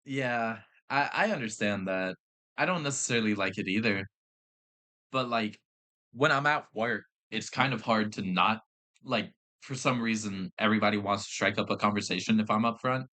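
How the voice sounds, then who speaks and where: A young man with a Southern accent who works in fast food, telling a work story in casual chat. He swears often, laughs a lot and acts out the people he quotes. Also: fairly low pitch, bright, forceful tone. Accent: American Southern. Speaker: podcast co-host.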